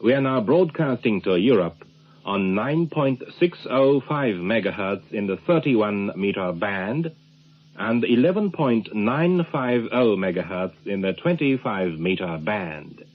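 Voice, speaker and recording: A man's voice: fairly low pitch, animated, unhurried. A man giving a formal overseas radio station announcement over a narrow shortwave recording.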